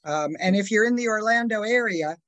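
An interviewer asking a guest questions in a curious way, with quick follow-ups. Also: medium pitch, noisy background.

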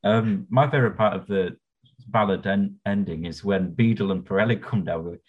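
A man's voice: on the low side, normal speed, slightly rough. Easygoing man on a dull mic. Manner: easygoing, tells anecdotes. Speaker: podcast co-host. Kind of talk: casual chat about musicals.

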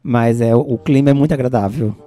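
A young man with a São Paulo accent in relaxed casual chat, drawing out his words and breaking into sudden exclaiming bursts. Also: mid-range voice, brisk, soft background music. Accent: São Paulo Portuguese. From Brazil.

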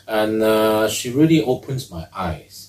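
A man with a fairly low voice, talking casually as a singer guest in an interview. His speech is halting and full of restarts. The sound is roomy and picked up from a distant mic. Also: expressive, a little mellow. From Hong Kong. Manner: chatty and halting, with frequent restarts and repeated words. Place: music academy room.

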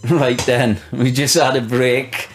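A man's voice: mid-range voice, little pitch movement, slow pace, a little hiss or hum. A man hosting a podcast, tipsy and laid-back, laughing and swearing in casual banter. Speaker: podcast host. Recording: roomy echo.

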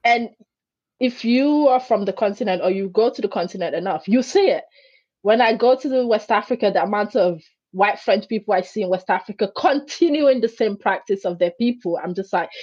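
A woman whose first language is Greek, a guest in an interview who tells stories in an animated way and acts out the voices she quotes. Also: mid-range voice, moderate pace, husky, dry recording, dull audio. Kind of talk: conversational interview.

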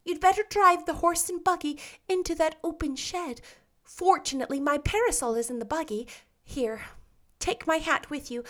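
A woman's voice: high voice, normal speed, fairly bright tone, voice pushed up. A woman voicing a talkative, dramatic teenage girl in a storybook reading, with a fanciful, gushing way of speaking. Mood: cheerful.

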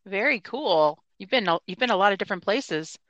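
A woman's voice: mid-range voice, expressive, fairly quick, fairly bright tone, no echo, lo-fi sound. A woman from the United States hosting a podcast interview, upbeat and chatty. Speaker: podcast host.

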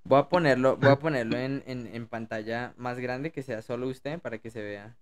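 A man's voice: medium pitch, moderate pace. A Colombian man co-hosting a podcast, chatting casually with a teasing, colloquial manner. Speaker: podcast co-host. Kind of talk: casual chat.

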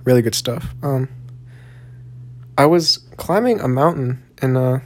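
A young Texan man with a Southern accent talks on his own podcast at an average pitch. He rambles in a loose, laid-back way, swearing casually and filling pauses with ums and likes. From Texas, USA. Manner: rambling, laid-back, profane, heavy on fillers. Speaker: podcast host. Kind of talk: solo podcast monologue.

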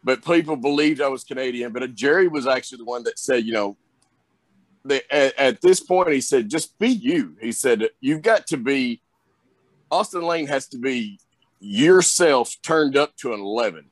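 A man's voice: mid-range voice, animated, moderate pace, dry recording. A young man with a Southern drawl, chatting in an interview about his wrestling career, rambling with lots of fillers. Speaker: wrestler guest. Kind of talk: casual interview chat.

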